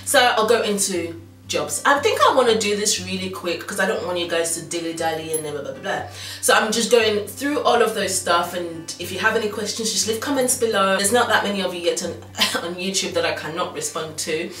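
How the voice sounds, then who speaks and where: A woman in her thirties with a slight Nigerian Yoruba accent chats away to her viewers, rambling with plenty of ums and restarts. Her voice sits at a middle pitch and sounds roomy, picked up from a distance, with faint music underneath. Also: brisk, fairly bright tone. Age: 30s. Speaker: fashion blogger. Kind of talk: casual chat to camera.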